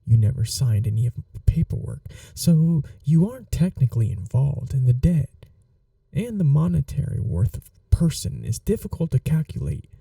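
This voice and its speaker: A man playing a calm, flirty, protective mafia boss in a soft-spoken ASMR roleplay, unhurried and reassuring with a teasing edge. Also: mid-range voice, creaky, dry recording, distant mic, rough recording. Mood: soothing, reassuring.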